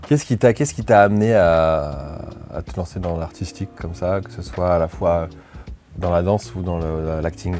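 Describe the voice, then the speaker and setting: Interviewer, man, very low voice, easygoing and informal, faint music underneath. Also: moderate pace. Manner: relaxed, informal, short encouraging replies. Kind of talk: casual interview questions.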